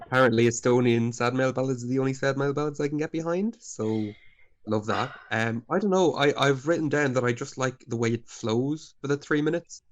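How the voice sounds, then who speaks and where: A man co-hosting a casual podcast chat, relaxed and self-mocking as he reads out his notes. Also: mid-range voice, fairly quick. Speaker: podcast co-host.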